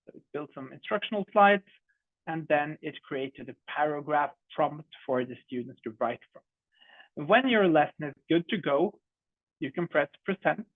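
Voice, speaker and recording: A man's voice: a little high, no echo. Norwegian man on a tinny call. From Norway. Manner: friendly, chatty, many fillers. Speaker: startup co-founder presenting. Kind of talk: online demo presentation. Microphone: video call. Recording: dry, phone-like video call audio.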